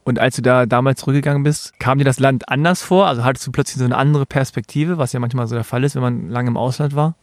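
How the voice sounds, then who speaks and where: A man's voice: mid-range voice, fairly even intonation, quick pace, few pauses, no room sound, clean studio sound. A man hosting an interview, asking long, rambling questions that he rephrases as he goes. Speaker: interviewer, host.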